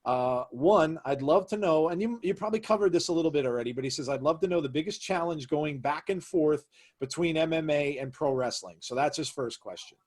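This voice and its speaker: A man's voice: a little high, fairly quick, a little mellow. A man hosting a wrestling podcast, reacting with eager praise and many fillers. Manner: enthusiastic, heavy on praise and fillers. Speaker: podcast host. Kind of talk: casual interview chat.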